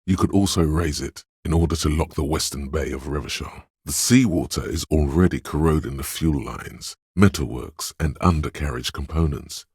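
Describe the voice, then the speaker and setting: A man playing the grave, wry inner-voice narrator of a detective game, speaking in a measured, deliberate, literary way with dramatic pauses. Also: darkish tone, no echo, studio quality, exaggerated voice. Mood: measured, matter-of-fact. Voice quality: very deep, gravelly, husky. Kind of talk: scripted game narration, second person.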